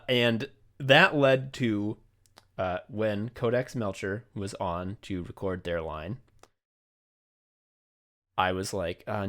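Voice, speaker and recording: An American man hosting a comedy podcast, talking casually in an animated, sweary way. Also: lowish voice. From United States. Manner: animated, sweary, laughs readily. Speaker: podcast host.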